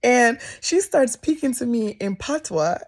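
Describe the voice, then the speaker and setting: A woman's voice: on the high side, lively intonation, unhurried, a little mellow. A Jamaican woman vlogging to camera in a casual storytime chat, her voice jumping up when she quotes people. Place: office.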